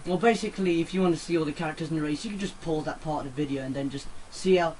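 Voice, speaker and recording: A man's voice: on the high side, very fast, slight background noise, poor audio. A man giving casual, unscripted game commentary, rambling as he repeats and rephrases his explanations. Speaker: let's play host and player. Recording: loud game music over commentary. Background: video game music.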